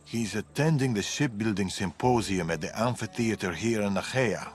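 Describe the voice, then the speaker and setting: An actor playing a guarded, weathered male veteran assassin from Persia in a video game, measured and serious. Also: lowish voice, soft background music, close to an everyday voice. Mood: grave, instructing. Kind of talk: video game cutscene acting.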